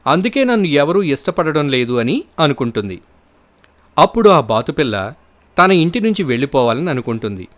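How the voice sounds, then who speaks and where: A man's voice: mid-range voice, a bit bright. Man narrating children's moral stories. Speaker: storyteller narrator.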